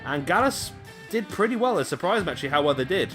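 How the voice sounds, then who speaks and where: A man giving casual play-by-play commentary on a wrestling video game, chatty with rambling asides to viewers, his voice going a little hoarse. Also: a little high, sing-song, fast talker, fairly bright tone, soft background music. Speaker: gaming commentator. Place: home room, late at night.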